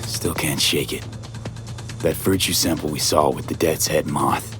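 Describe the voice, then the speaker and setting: An actor playing a gruff, sardonic cyberpunk mercenary investigator in a video game. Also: male voice, a bass voice, fairly even intonation, unhurried, over music. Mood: brooding. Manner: terse, sardonic.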